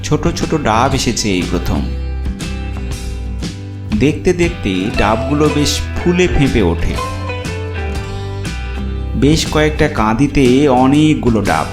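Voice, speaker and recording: A male storyteller reads a scripted horror cartoon tale. His pitch is average for a man. The voice sits in a roomy echo with music clearly playing behind it. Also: big pitch swings, slow pace, pauses often. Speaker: storyteller narrator. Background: background music.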